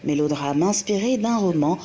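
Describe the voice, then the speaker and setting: A woman reporter reading a scripted documentary segment in a measured, formal way. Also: fairly low pitch, expressive, dark tone, a little hiss or hum.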